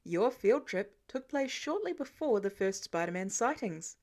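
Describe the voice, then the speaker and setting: A woman's voice: medium pitch, big pitch swings, unhurried, darkish tone. A woman voicing a confident, nosy male billionaire boss, nonchalant and probing, in an audiobook. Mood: smug, nonchalant. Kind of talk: audiobook character dialogue.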